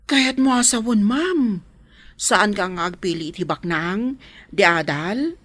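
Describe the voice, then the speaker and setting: A woman's voice: medium pitch, lively intonation, measured pace, a bit bright. A woman with an Ilocano accent playing a nosy, teasing matchmaker of the household in a radio drama, frank and coaxing.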